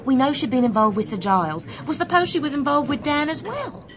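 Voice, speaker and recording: A woman's voice: sits high, lively intonation, brisk, crowd noise. An actress playing a keen, confiding woman reasoning out a murder in a radio drama, insistent in manner. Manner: insistent, speculating. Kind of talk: British radio drama acting.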